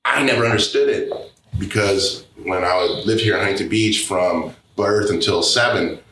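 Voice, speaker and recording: A man in his forties from California, a guest speaking earnestly in a casual interview, with halting fillers and restarts. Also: on the low side, a bit bright. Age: 40s. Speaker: podcast guest. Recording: echoey room, faint background noise.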